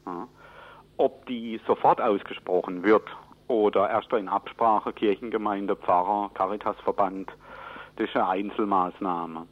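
A man with a fairly low voice gives an unscripted interview answer. He explains in a matter-of-fact way, step by step. The sound is narrow and phone-like, as on old radio. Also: steady tone, a little slow. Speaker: interviewee explaining church employment practice.

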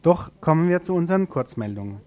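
A man's voice: a little high, very animated, fast talker, a little mellow. A man presenting a radio news magazine in an informal style.

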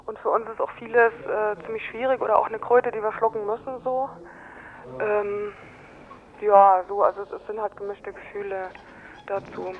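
A woman's voice: medium pitch, steady tone, normal speed. A woman being interviewed as a resident, answering informally and hesitantly, deliberating as she goes. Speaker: interviewed resident of a housing project. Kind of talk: spontaneous phone interview. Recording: muffled noisy telephone line.

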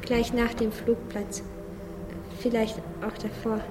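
A woman's voice: fairly high pitch, flat delivery, takes it slowly, noisy background. A woman playing a naive, sad little boy musing simply in a radio play. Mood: pensive. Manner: simple childlike musing, repeating phrases. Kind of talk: radio play inner monologue. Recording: long reverb, music clearly behind.

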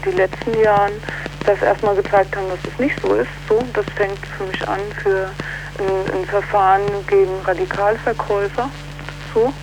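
Female activist interviewee, mid-pitched, halting and searching delivery, faintly noisy recording. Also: level tone. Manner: halting, hesitant, often ending on question tags. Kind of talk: spontaneous political interview.

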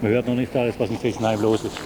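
Loud traffic noise surrounds a man answering a street interview. His delivery is very flat and plain-spoken, in short terse phrases. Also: on the low side, rapid-fire. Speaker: passer-by interviewed. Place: outdoors.

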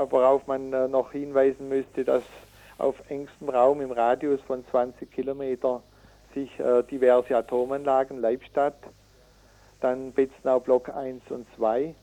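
Male activist interviewee, soft mellow tone, mid pitch, halting delivery with indignant emphasis. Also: flat delivery, rough recording. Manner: halting, with many hesitations and self-corrections. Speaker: activist interviewee from a citizens' environmental initiative. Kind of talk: radio interview, reading out figures and arguing.

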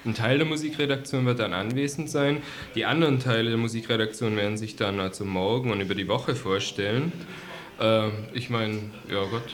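A man's voice: fairly low pitch, fairly quick. A man presenting on radio in a casual, unscripted conversational style.

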